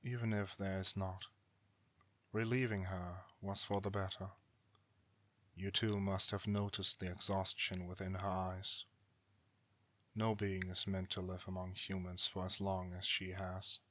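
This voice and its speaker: Man acting a grave, bright-edged ancient god, weary and bitter. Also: low-pitched, level tone, no room sound. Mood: weary, justifying. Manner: formal archaic diction, grave, deliberate. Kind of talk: dramatic audio roleplay acting.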